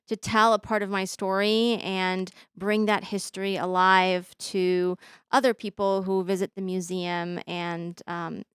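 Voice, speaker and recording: A woman in her thirties, born in Bosnia with Bosnian as her first language, telling her story as an interview guest with many pauses and fillers. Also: mid-range voice, fairly bright tone, clean tone, no room sound, studio quality. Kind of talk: conversational interview.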